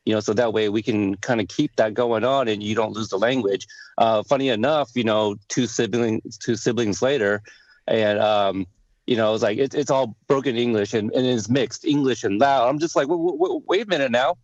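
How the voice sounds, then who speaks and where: A man hosting a podcast interview, whose first language was Lao, chatting in a friendly, animated way. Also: medium pitch, normal speed, dry recording. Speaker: podcast host. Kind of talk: casual interview chat. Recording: dry, dull lo-fi sound.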